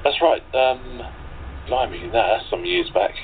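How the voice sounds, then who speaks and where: A man's voice: mid-range voice, expressive, measured pace, slight background noise. A man who works as a radio play narrator and actor. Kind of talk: scripted narration and casual interview chat. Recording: muffled narrow phone-like audio.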